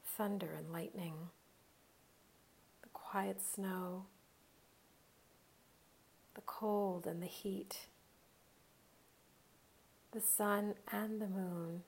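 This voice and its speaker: A woman leading a guided meditation in a soothing, unhurried way, leaving long pauses between short phrases. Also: medium pitch, fairly even intonation, creaky, a little mellow, breathy edge.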